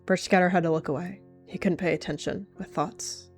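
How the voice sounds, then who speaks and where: A woman calmly narrating a roleplay story in a measured way. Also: fairly low pitch, soft background music.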